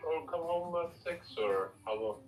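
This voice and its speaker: A man's voice: a little high, lively intonation, a little slow, darkish tone. A Swedish-born man in his twenties on a phone call, talking hesitantly with lots of uhs. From Sweden. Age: 20s. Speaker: caller on speakerphone. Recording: distant speakerphone call.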